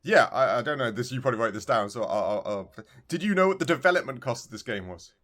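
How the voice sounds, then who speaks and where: A man's voice: mid-range voice, lively intonation, quick pace, a bit bright. A man co-hosting a podcast, chatting casually about retro video games in a rambling, emphatically repetitive way. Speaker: podcast co-host.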